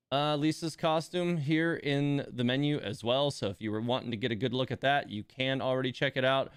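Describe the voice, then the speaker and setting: A man's voice: mid-range voice, clean tone, no room sound, clean studio sound. A man hosting a game livestream, chatting casually and informally to his viewers in a rambling way.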